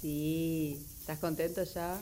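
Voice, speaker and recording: Hissy noisy recording, lady acting as a chatty argentine woman in a radio play, kinda ditzy, always smoothing things over. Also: sits low, flat delivery, slow pace. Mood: easygoing. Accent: Rioplatense Argentine Spanish. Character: scatterbrained, chatty, placating woman on a car trip. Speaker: amateur radio drama performer. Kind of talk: naturalistic radio drama dialogue.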